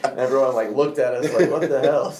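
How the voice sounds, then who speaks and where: A young man co-hosting a podcast, chatting casually and telling jokey, animated stories. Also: medium pitch, quick pace, slight background noise. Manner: joking, animated storytelling. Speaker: podcast co-host. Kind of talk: casual chat. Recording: echoey room.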